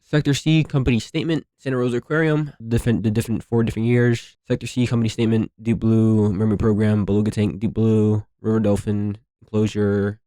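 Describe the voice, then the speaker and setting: A man giving casual, rambling commentary as a host, slangy, repeating himself and thinking out loud. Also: on the low side, level tone, brisk, hardly any pauses, no echo. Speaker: theory video host reacting to horror series.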